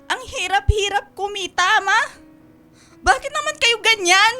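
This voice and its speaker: A woman's voice: very high-pitched, expressive, a little slow, pushed and bright, faint music behind, exaggerated voice. A woman playing a worried, reproachful adult daughter in a Filipino radio drama, pleading and accusing with open emotion. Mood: exasperated, reproachful.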